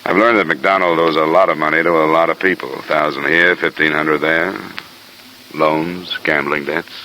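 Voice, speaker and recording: An actor playing a hard-boiled, persistent investigator in a 1950s radio detective drama, clipped, dry and matter-of-fact. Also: male voice, extremely low pitch, fairly even intonation, moderate pace, sharp tone. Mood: dry, probing. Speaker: lead actor and narrator. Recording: noisy vintage radio recording.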